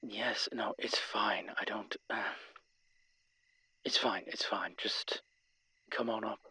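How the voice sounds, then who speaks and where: Low, husky demon voiced by a man. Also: steady tone, sharp tone, dry recording, full character voice. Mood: flustered, reluctant. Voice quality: husky, breathy. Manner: hesitant, dry, halting phrasing. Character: weary, guarded male winged demon police consultant. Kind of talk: intimate roleplay audio drama.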